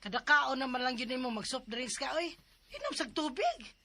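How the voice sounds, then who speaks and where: A woman's voice: high voice, big pitch swings, moderate pace, sharp tone, full character voice. A woman acting a nagging but caring Cebuano wife in a radio drama, scolding with exasperation. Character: nagging, concerned wife of an older man. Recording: faint noise and ambience behind.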